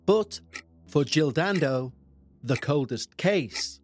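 A man with a Liverpool accent hosting a podcast, reading true-crime narration in a measured, dramatic way. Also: a little high, animated. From England. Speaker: podcast host.

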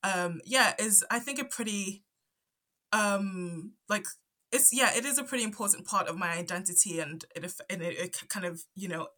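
A woman's voice: medium pitch, moderate pace, pushed and bright. A woman in her twenties from Zambia with a stutter, answering interview questions hesitantly with many fillers and restarts. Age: 20s. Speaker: guest, anthropology PhD student.

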